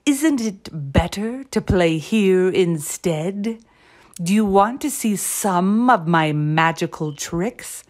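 A woman's voice: on the low side, lively intonation, drawn-out words, few pauses, darkish tone, full character voice. A woman voicing a sly, coaxing male fox in a children's storybook reading. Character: sly smiling male fox luring chicks.

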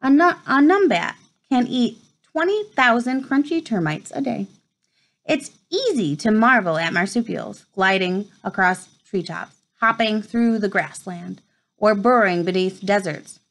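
A woman in her thirties reading a children's nature book aloud at home, with measured storytime phrasing. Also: mid-range voice, animated, low-quality recording. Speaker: read-aloud presenter. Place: small furnished room at home, indoors.

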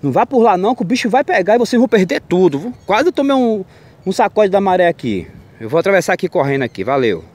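A man's voice: high voice, very animated, fast talker, a little mellow. A young man with a slightly raised voice talks through directions to his viewers while standing at a river mouth. He speaks in a loose, repetitive way and keeps checking in with short tags. The sound comes from an action camera he wears outdoors. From Brazil. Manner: pointing out a route, repeating "ó" and "viu?". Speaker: fishing vlogger. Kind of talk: casual instructions to camera. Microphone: action camera worn on the body.

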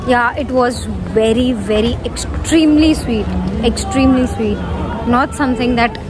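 A young woman vlogging casually and excitedly to a phone held at arm's length, with lots of fillers. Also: a little high, normal speed, music in the background. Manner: chatty, excited, many fillers. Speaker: travel vlogger. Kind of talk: casual travel vlog. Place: dim themed cafe indoors, then busy street outdoors. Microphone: handheld phone at arm's length. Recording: loud crowd chatter behind.

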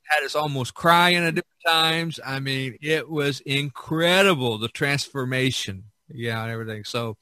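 A man hosting a podcast in a casual way, often halting and repeating his words. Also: mid-range voice, pushed and bright, dry recording. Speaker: podcast host.

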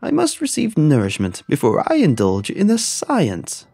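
A man voicing a pompous, shrieking egg-shaped creature who makes booming, formal demands. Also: wildly swooping pitch, moderate pace, creaky, mellow, slightly rough, no echo, exaggerated voice. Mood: booming demanding.

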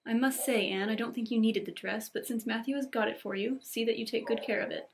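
A woman reading as brisk, no-nonsense older guardian woman. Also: on the high side, steady tone, vocal fry, slight background noise. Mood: brisk, practical. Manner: matter-of-fact, bossy. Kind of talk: storybook read-aloud.

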